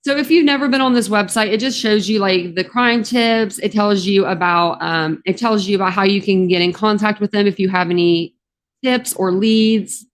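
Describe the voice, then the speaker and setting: A woman's voice: mid-range voice, moderate pace. A woman with a Southern drawl, chatting casually on a livestream. Her voice is a bit scratchy from the morning, and she rambles with plenty of ums. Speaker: true crime YouTuber.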